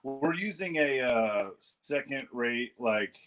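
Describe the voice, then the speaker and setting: A man's voice: mid-range voice, animated, slow, fairly bright tone. A man from Southern California hosting a podcast over a video call, talking in a laid-back, slangy way with lots of "dude.". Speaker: podcast host.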